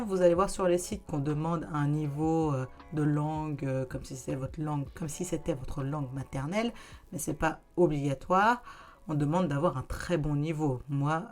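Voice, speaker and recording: A young adult woman with a somewhat low voice hosts her own vlog in a relaxed, talkative way, speaking directly to her viewers as she walks them through practical advice. Soft music plays faintly underneath her voice. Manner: chatty, conversational, addresses viewers directly. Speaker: YouTuber giving practical advice. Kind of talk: casual explanatory vlog.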